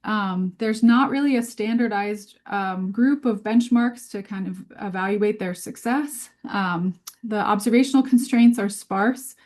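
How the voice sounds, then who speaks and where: A woman scientist speaks in a webinar over a video call, her pitch in the middle range for a woman. Her delivery is informal and explanatory. She tends to think aloud, hesitating and rephrasing as she goes. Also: a little slow, a little mellow.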